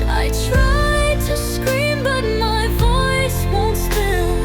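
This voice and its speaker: Very high, slow female singing over music. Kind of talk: slow sung fantasy lament.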